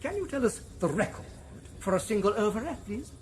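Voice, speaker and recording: A pompous, patronizing director of a breeding factory lectures his students in a radio play. His delivery swings freely up and down in pitch, and a steady noise sits under the recording. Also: fairly quick. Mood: lecturing. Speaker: hatchery director. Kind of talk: 1990s radio drama acting.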